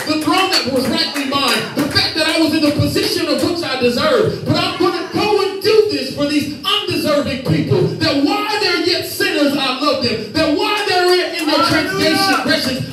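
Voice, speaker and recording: A young male pastor preaches from a church stage, holding a handheld mic and driving each point home with repeated refrains. His voice rides high as he moves and gestures. It is caught from the back of the room, with a strong echo and soft music underneath. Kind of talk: sermon. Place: church stage with lit screens, indoors. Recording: echoey room from a distant camera.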